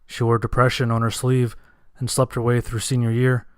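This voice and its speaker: A man's voice: medium pitch, monotone, moderate pace, dry recording, clean studio sound. A man narrating a first-person dramatic story in a somber, earnest manner.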